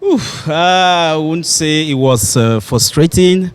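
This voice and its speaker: A man's voice: fairly high pitch, expressive, slow pace, clean tone. A man from Cameroon with a French-tinged Cameroonian accent, answering politely and conversationally as an interview guest. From Cameroon, raised in Douala. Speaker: guest, head teacher and actor. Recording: music in the background. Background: music.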